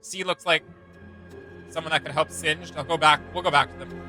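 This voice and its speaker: A man's voice: fairly high pitch, fairly even intonation, normal speed, music in the background. A man giving casual reaction commentary as a video host, thinking aloud in informal, slangy language.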